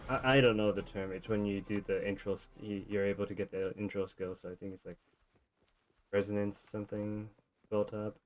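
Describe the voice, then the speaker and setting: A man's voice: lowish voice, steady tone, a little fast, poor audio. A man giving casual video game commentary, thinking aloud in a rambling way. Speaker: streamer playing a video game. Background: video game music.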